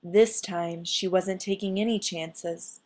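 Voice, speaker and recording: A woman reading a children's storybook aloud as an expressive storyteller. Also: on the low side, takes it slowly.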